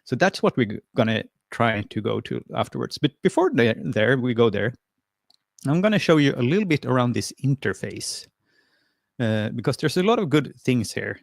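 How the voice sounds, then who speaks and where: A man's voice: mid-range voice, very animated, moderate pace, creaky, no room sound, studio quality. A man from Sweden, presenting a friendly, hesitant screen-share tutorial over a video call, with lots of fillers and restarts. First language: Swedish. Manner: friendly and encouraging.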